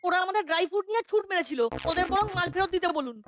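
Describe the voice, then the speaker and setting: Casting: earnest little cartoon schoolboy, piping high voice, fast talker, protesting manner, music underneath. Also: female voice, animated. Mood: earnest appeal. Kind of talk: cartoon voice acting. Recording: music behind the voice. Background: cartoon background music.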